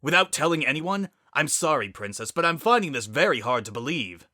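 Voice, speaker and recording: Guy as pony dad, protective plain talker, bright male voice, story drama. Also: on the high side, big pitch swings, brisk, no echo, clean studio sound, voice pushed up, full character voice. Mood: upset, disbelieving. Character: muscular pony father, tender, protective, plain-spoken.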